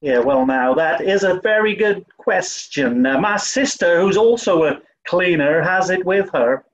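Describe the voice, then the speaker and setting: A man's voice: high-pitched, expressive, measured pace, dull audio. A man voicing a toff who poses as a bumbling, over-polite cleaning woman with a put-on Southern US accent. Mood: bluffing sweetly. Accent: put-on American South. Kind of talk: 1940s radio comedy acting.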